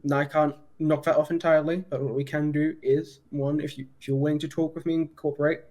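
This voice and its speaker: A man improvising a formal police detective's interview in a roleplay, measured, with stumbles and self-corrections. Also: a little high, level tone, a little mellow.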